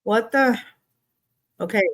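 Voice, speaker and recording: A woman's voice: fairly high pitch, slow pace, a bit bright. A middle-aged woman hosts her own political video, ranting bluntly with lots of fillers and the odd curse word. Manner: exasperated, blunt, swears, many fillers. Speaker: political vlog host. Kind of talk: casual opinion rant.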